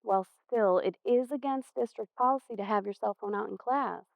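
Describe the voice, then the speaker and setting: A woman playing a patient, earnest American school counselor in a stage play, speaking in a measured, placating way. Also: medium pitch, vocal fry, no room sound, lightly acted. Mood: patient, reasoning.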